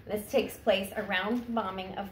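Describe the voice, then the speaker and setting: A woman's voice: mid-range voice, fairly even intonation, moderate pace. Echoey room with a bit of noise, woman in her 30s chatting to camera like a teacher, phone recording. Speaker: teacher introducing a children's summer reading challenge. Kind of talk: casual presentation to camera. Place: indoor room at home, sitting on a wooden floor against a wall. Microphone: phone camera a short distance away.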